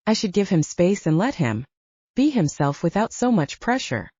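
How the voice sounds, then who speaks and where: A woman's voice: on the low side, very animated, normal speed, warm tone, no echo, lo-fi sound. A woman voicing an earnest young woman fighter in an audiobook, venting emphatically about love. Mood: thoughtful, resolved.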